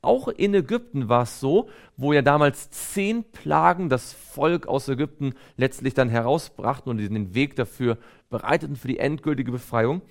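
A man's voice: medium pitch, expressive, normal speed, vocal fry. Earnest male preacher delivering a sermon. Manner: earnest, exhortative, emphatic phrasing. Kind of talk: sermon with scripture readings.

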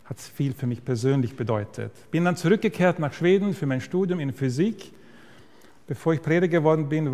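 Pastor with an Austrian-Swedish accent. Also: male voice, medium pitch, darkish tone. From Sweden. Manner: friendly, direct address to listeners with occasional hesitations. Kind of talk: church lecture. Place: church hall. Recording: reverberant hall.

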